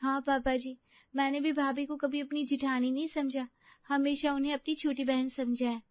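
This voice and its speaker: Casting: woman as young daughter-in-law, eager and respectful, highish voice, family audio drama, slightly muffled sound. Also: flat delivery, moderate pace, dry recording, lightly acted. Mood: affectionate. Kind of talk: Hindi family story acting.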